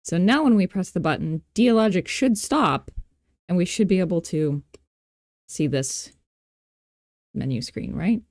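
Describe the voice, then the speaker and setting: A woman with a fairly low, mellow voice talks through a screen-recorded tutorial. She is informal and playful, thinking aloud with self-deprecating asides. The sound is very dry and close. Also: lively intonation, measured pace, pauses often, no room sound. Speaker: tutorial host.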